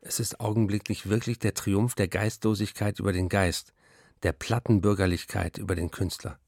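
A weary, embittered writer reading his diary and letters, voiced by a radio play with a low, measured delivery. The sound is dry and close, with no room around it. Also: flat delivery, gravelly, a little mellow, no echo. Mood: bitter.

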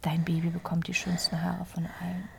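An actress playing a steady, comforting young mother held as a political prisoner, speaking with soothing restraint in a radio drama. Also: lowish voice, fairly quick, gravelly, background noise. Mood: soothing, hushed. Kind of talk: naturalistic radio drama acting.

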